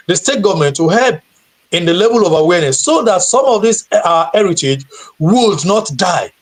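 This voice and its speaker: A man from Nigeria, a guide and teacher, answering questions earnestly on a video call, often repeating words as he searches for them. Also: sits high. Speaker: guest tour guide and teacher. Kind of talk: live stream interview answer.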